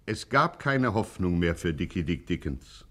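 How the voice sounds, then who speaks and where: An actor narrating a vintage German radio crime satire with mock-dramatic suspense. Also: male voice, low voice, very animated, unhurried, a bit bright, exaggerated voice. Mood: mock-grave suspense. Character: mock-grave narrator of a gangster serial.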